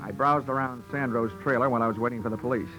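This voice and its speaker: An American actor playing a dry, deadpan, hard-boiled insurance investigator narrating his case in a 1940s radio drama. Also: medium pitch, rapid-fire, lightly acted, rough recording. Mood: wry, tense narration. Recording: old 1940s radio recording, music behind.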